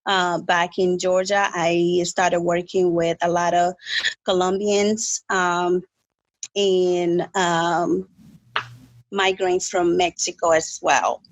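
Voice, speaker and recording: A woman's voice: fairly low pitch, flat delivery, slow, no echo, dull audio. A woman from the Dominican Republic, a native Spanish speaker, introducing herself conversationally as an interview guest. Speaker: podcast guest, real estate agent.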